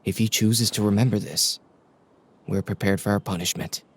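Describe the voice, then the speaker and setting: A solemn game character. His voice is low and husky, and he speaks with composed resolve. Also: fairly even intonation, moderate pace. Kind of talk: video game voice acting.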